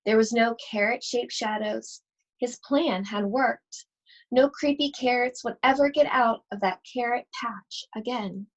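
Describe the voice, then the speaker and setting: Casting: female storybook reader, higher-pitched, unhurried, expressive and suspenseful delivery for young listeners. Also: low-quality recording. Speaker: school library read-aloud host. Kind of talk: expressive children's storybook read-aloud.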